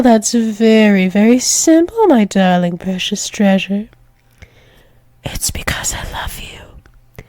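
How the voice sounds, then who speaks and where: A woman's voice: mid-range voice, dark tone, no echo. A woman voicing a doting, dominant dragon-wolf demoness lover in an intimate ASMR roleplay, teasing with pet names and dropping into whispers. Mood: possessive, adoring.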